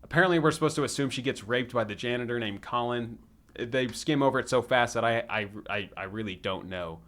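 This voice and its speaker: A man's voice: medium pitch, fairly quick, fairly bright tone. A man hosting a casual movie review chat, talking in a rambling way and swearing freely. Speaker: podcast host.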